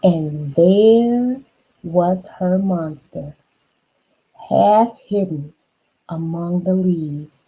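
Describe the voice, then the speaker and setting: Slow-paced woman reading a storybook aloud. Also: on the low side, breathy edge, phone-quality sound. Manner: unhurried, dramatic emphasis, chatty asides. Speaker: children's storybook reader. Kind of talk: expressive read-aloud with asides to the listener.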